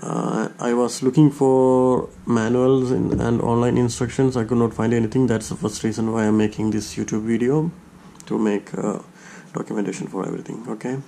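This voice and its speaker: Flat, mellow man giving halting instructions. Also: mid-range voice, vocal fry, slight background noise. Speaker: tutorial presenter. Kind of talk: step-by-step hands-on demonstration.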